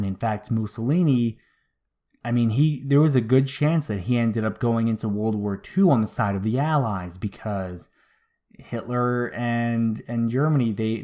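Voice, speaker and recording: Male history show host, medium pitch, informal and conversational storytelling with slangy asides. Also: darkish tone.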